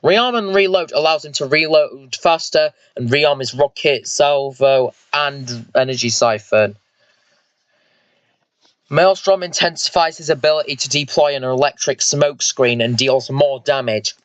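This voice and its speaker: A man's voice: a little high, measured pace, a bit bright, no room sound, lo-fi sound. A man voicing an eager, vengeful squad leader and mech pilot in an amateur sci-fi story, informal and explanatory. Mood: instructive.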